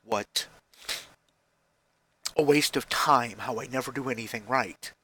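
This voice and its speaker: A man in his forties talks casually into a headset microphone in his basement, his voice bright and forward. He rambles and restarts often, running himself down with a wry, weary honesty. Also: medium pitch, measured pace, slightly rough. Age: 40s. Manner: rambling, self-deprecating, frequent restarts. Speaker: vlogger. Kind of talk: casual self-deprecating vlog talk. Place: basement room at home.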